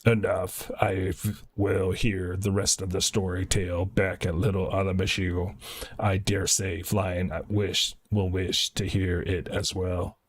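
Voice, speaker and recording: A man casually commentating a game livestream and reading quest text aloud in a low-key way, with small stumbles and a husky voice. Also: level tone, unhurried.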